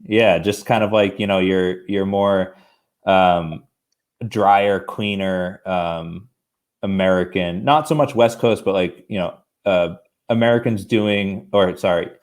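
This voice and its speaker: A man's voice: low voice, steady tone, slow. A man talking casually as a brewery guest on a video call, rambling with lots of fillers and restarts.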